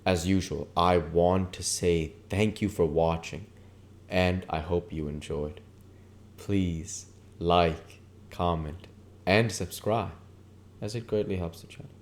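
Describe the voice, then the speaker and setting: A man's voice: deep voice, steady tone, slow pace. A man reading literary prose aloud as an audiobook narrator and channel host.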